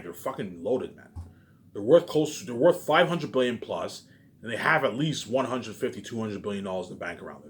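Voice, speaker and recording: Fast-talking man in a repetitive rant. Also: mid-range voice. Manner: repetitive, with frequent verbal tags; profane, ranting. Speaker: vlogger. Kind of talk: rambling unscripted monologue to viewers.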